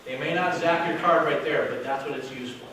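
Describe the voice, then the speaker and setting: Man, hotel sales director, loud projecting voice, slightly high pitch, noisy distant recording. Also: fairly quick. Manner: casual, chatty, with fillers. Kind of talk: workshop presentation to a live audience. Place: meeting room with an audience. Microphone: distant camera, no microphone. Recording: very echoey room from far off with noise.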